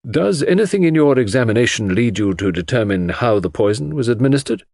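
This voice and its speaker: A man acts a formal coroner in a mystery audiobook, brisk and inquisitive. The questions swing upward with lively intonation, in a dry recording. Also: medium pitch, vocal fry, no echo. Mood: probing, formal. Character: brisk, probing male coroner questioning witnesses.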